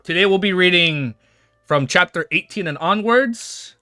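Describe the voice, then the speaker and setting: A man's voice: high voice, expressive, measured pace, sharp tone, dry recording, studio quality. A young man in his twenties hosting a livestream, chatting casually and reading a novel aloud, rambling and swearing with easy laughs. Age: 20s. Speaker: livestream host.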